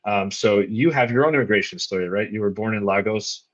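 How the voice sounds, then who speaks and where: A man's voice: fairly low pitch, brisk, muffled recording. A male podcast host asking long, curious, rambling questions with restarts over a remote call. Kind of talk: interview questions, casual.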